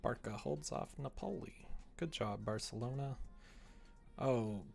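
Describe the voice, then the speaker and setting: A man's voice: mid-range voice, unhurried, gravelly, a little mellow, soft background music. A young man chatting casually on a livestream, thinking aloud in a self-deprecating, muttering way as he works. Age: young adult. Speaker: streamer writing a visual novel. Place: small room indoors at a computer.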